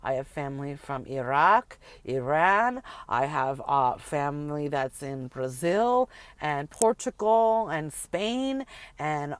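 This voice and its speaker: Middle-aged woman, rambling emphatic vlogger; smooth tone, dry close sound. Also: low voice, no echo. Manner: rambling, emphatic, repeats words for effect. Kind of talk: casual rant to camera.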